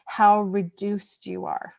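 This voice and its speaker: A woman's voice: medium pitch, flat delivery, slow, creaky, dry recording. Woman on a podcast chatting casually, rambles and restarts a lot, emphatic, very dry sound. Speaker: podcast guest discussing an audio drama. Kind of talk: casual discussion.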